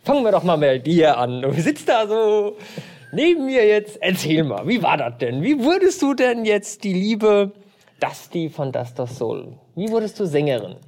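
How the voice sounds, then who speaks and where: A man's voice: sits high, very animated, normal speed, a little mellow. An enthusiastic male podcast host speaks to his guests with effusive praise. He asks his questions eagerly and keeps things upbeat. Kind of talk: warm interview hosting. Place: castle over a lake.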